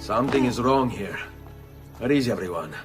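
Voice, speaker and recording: An actor playing a grave, resolute young assassin with an Italian accent in a video game cutscene. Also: male voice, mid-range voice, animated, moderate pace, music in the background, natural voice. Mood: solemn.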